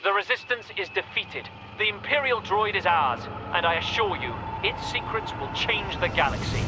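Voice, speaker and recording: An actor playing a stern, zealous military commander in a video game, speaking in a formal, declamatory, menacing way. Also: male voice, sits high, animated, normal speed, very noisy. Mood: triumphant. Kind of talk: dramatic video game briefing. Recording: loud music behind.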